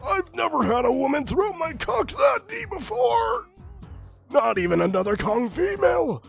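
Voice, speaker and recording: A man voicing a courteous, excitable male giant ape ambassador in a story reading. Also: very high voice, normal speed, gravelly, faint music behind, voice pushed up, full character voice. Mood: amazed. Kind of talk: storybook character voice.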